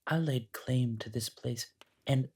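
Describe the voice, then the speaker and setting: A man as a husky, taunting ancient monster. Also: mid-range voice, darkish tone. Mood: menacing, grandiose. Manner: taunting, theatrical, drawn-out delivery. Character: ancient monster in human guise, mockingly polite and possessive. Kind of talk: dramatic horror audio roleplay.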